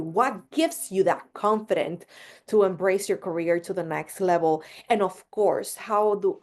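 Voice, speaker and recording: A woman's voice: on the low side, a little mellow. Upbeat woman hosting a video webinar. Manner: upbeat, repeats words for emphasis. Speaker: webinar host. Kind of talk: enthusiastic webinar welcome.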